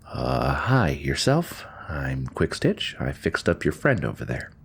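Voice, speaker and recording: A very deep but soft-voiced young stallion doctor acted by a man in an audio drama. He is polite and hesitant, with a rhythmic, lively lilt to his earnest speech. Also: slow pace, gravelly, husky, heavily acted. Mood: awkward. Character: young adult male unicorn doctor, awkward and polite.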